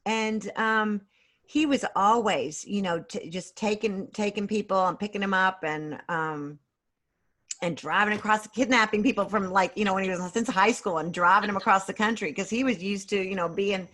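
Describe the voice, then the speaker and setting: A middle-aged woman chatting casually as an interview guest on a video call, speaking in a hesitant, halting way and often restarting her phrases. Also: medium pitch, a bit bright. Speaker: guest author and creative writing teacher.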